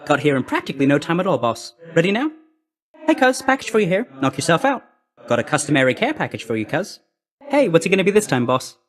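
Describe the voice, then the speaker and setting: A cheeky young male messenger god for a video game. The voice sits fairly high and swings up and down constantly. He talks in a breezy, clipped, slangy way, like a chummy courier who is always in a hurry. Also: sing-song, quick pace. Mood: breezy, hurried. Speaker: video game character actor.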